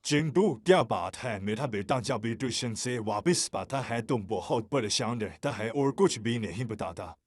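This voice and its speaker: A man playing a poor, anxious shopkeeper father in an animated moral story, earnest and pleading. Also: mid-range voice, unhurried, few pauses. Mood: concerned.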